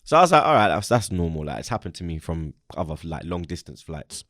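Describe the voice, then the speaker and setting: A man's voice: low voice, sing-song, quick pace, fairly bright tone, no room sound, clean studio sound. A young man with a London accent, hosting a podcast and telling a casual, slangy story. He acts out the lines of the people in it. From England. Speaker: podcast host.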